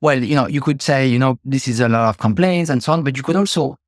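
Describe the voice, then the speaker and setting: A man's voice: mid-range voice, quick pace, no echo. French-accented man, dry close sound. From France. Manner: reflective, many fillers and restarts. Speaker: guest, ecommerce logistics executive. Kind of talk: podcast interview.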